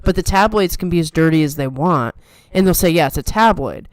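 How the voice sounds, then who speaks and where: A woman with a softened New York accent, co-hosting a casual chat in a trained, controlled radio manner. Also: fairly low pitch, lively intonation, a little fast, dry recording. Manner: chatty, playful, trained radio delivery. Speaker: podcast co-host.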